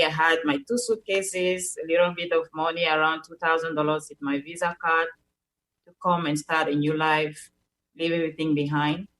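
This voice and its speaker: A woman's voice: sits low, a bit bright, rough recording. A young woman from Cameroon with a Cameroonian French accent, a native French speaker, earnestly telling a personal story as a radio show guest and repeating words as she searches for them.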